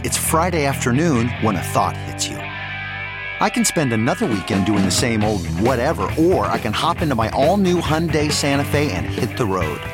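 A man reading a scripted car commercial as an advertising voice-over. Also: mid-range voice.

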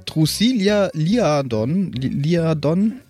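A man's voice: fairly high pitch, lively intonation, slow pace, a little mellow. A man chatting casually as a podcast co-host about making game characters. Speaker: podcast co-host and tabletop role-player. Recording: music playing behind. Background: background music.

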